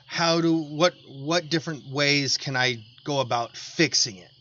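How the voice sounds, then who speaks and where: American dude podcast host, earnest and rambly, sharp bright voice, bit of noise behind him. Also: male voice, fairly high pitch, a little slow. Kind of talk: casual chat and personal anecdotes. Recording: dull lo-fi audio with noticeable background noise.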